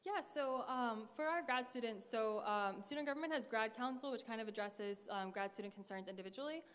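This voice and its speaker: A woman's voice: a little high, brisk. A woman in her twenties, a student candidate answering debate questions, hesitant with many fillers and restarts. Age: 20s. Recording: echoey hall.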